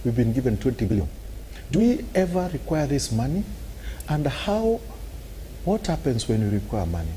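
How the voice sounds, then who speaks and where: A man's voice: mid-range voice, very animated, moderate pace, long pauses, warm tone, a little hiss or hum. A man with a Kenyan accent, arguing animatedly on a talk show and acting out scenes with sound effects. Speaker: political commentator.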